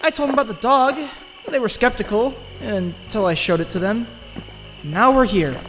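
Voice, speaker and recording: Someone voicing an expressive, anxious teenage boy. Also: medium pitch, normal speed, faint music behind, lightly acted, poor audio. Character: Greg, anxious, driven teenage boy narrating his own story. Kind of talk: dramatic first-person narration in a comic dub.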